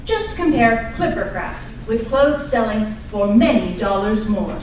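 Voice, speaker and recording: A woman playing a polished, friendly radio announcer delivering a sponsor's pitch in 1940s style. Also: animated, measured pace, darkish tone. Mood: polished, salesy. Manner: polished, salesy, chatty. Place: live performance at a radio club event. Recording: roomy, distant live stage recording with hiss.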